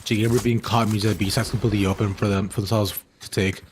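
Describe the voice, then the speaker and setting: A man's voice: fairly low pitch, flat delivery, fast. Male esports commentator over noisy feed. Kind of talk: live game commentary with casual banter.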